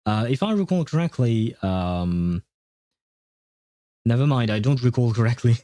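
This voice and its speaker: A man livestreaming a game, chatting casually with viewers and explaining things step by step with hesitant pauses. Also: medium pitch, sing-song, dark tone, no room sound. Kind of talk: casual gaming livestream chat, answering viewer questions.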